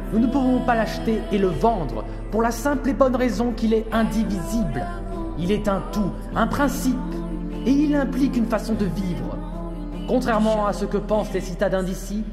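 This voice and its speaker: A fervent cult leader in his thirties, with a high voice. He declaims solemnly, commanding his followers in an audio drama. Loud music plays under him. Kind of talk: French audio drama acting.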